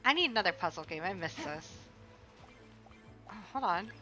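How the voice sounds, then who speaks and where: A woman streaming a game, chatting casually, swearing and reacting loudly. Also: mid-range voice, sing-song, normal speed, music in the background. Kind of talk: casual livestream gameplay chat.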